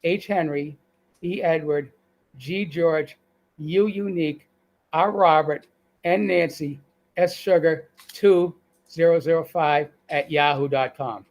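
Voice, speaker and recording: Man, Boston accent, middle-aged, slightly high voice, halting speech with fillers, video call. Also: poor audio. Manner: halting, with many fillers and restarts. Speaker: guest. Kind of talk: casual live-stream interview.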